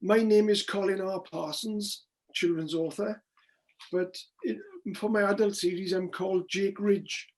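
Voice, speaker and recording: A man's voice: high-pitched, steady tone, measured pace. An older man interviewing in a casual, chatty style, with a rambling, inquisitive manner.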